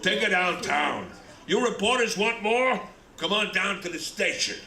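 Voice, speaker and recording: Radio play: vain, blustering detective captain, high and animated, echoey stage. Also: male voice, unhurried, heavily acted. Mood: bossy, irritated. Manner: blustering, barking orders. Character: brash, bossy, vain police detective captain. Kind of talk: old-time radio drama acting. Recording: echoey stage with audience noise.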